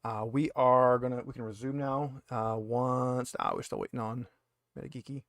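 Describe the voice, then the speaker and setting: A man's voice: medium pitch, fairly even intonation, moderate pace, fairly bright tone, no echo. Informal man running a game, close dry sound. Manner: informal, explanatory. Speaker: game master. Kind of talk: running an online tabletop roleplaying game.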